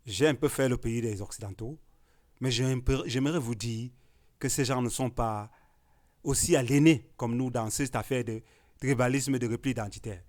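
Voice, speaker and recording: A man's voice: mid-range voice, moderate pace. A man from Cameroon, an artist arguing hard in a panel discussion. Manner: emphatic, rising to forceful declarations.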